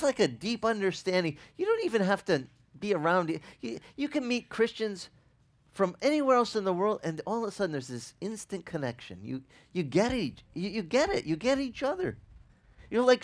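Man in his forties, pastor, Italian first language, slight Italian accent, lively swooping pitch, somewhat high voice, casual outdoor sermon, headset mic. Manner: animated, conversational, asks the listeners questions. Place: outdoors on a sunny beach.

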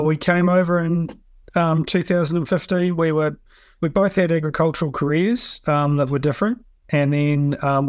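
A man's voice: a little high, clear, resonant tone, no room sound, studio quality. A man from New Zealand with a New Zealand accent, a dairy farmer answering interview questions in an easygoing way full of um and yeah.

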